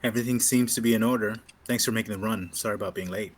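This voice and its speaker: A man reading a composed, persuasive rebel officer with a husky voice in a sci-fi table read. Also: lowish voice, fairly even intonation, racing, close to an everyday voice. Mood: friendly, dry.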